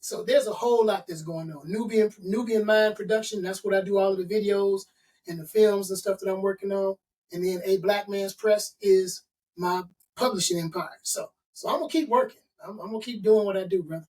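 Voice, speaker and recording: A man's voice: sits high, steady tone, fairly quick, a little mellow, distant mic, poor audio. A middle-aged man from Chicago, a consultant and author being interviewed, talking in an emphatic, motivational way and stacking points in lists. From Chicago, USA. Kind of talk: interview conversation.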